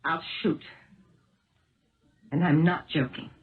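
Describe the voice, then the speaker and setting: An actress playing a determined, emotional wife turned amateur sleuth in a 1970s radio drama, earnest and dramatic. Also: female voice, low-pitched, fairly even intonation, slow pace. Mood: tense, defiant. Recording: muffled, roomy 1970s radio recording.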